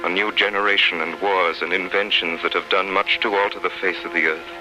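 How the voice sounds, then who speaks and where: An actor playing an anguished, devoted prisoner in a solemn, impassioned 1930s radio drama style. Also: male voice, sits low, steady tone, a little fast, background noise, close to an everyday voice. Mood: wistful reminiscence. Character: anguished, devoted middle-aged male prisoner looking back on love. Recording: 1930s radio broadcast with music.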